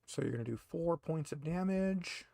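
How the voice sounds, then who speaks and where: A man's voice: fairly high pitch, animated, moderate pace, vocal fry, mellow, no room sound, clean studio sound. A man talking casually as game master, explaining rules and narrating a tabletop game in an informal, slightly hesitant, patient way.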